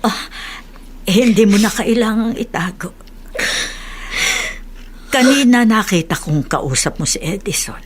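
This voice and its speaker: A woman's voice: medium pitch, animated, measured pace, long pauses, a little hiss or hum, lightly acted. An actress playing a motherly, concerned older woman who soothes and confides in a Filipino radio drama. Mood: gently probing. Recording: radio drama with music underneath.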